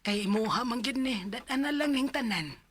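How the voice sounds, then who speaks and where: A woman's voice: on the high side, lively intonation, normal speed, slightly rough. An actress playing a concerned, hesitant middle-aged mother in a Bisaya radio drama, with a Cebuano accent. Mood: resigned. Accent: Cebuano Philippine. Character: concerned middle-aged Filipina mother, reluctant but yielding.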